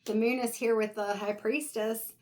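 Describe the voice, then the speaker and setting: A woman's voice: a little high, fairly even intonation, normal speed, darkish tone. Woman losing her voice, giving a meandering tarot reading. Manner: meandering, reflective, talks directly to the viewer. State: losing her voice, clearing her throat. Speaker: tarot reader. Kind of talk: casual intuitive tarot reading.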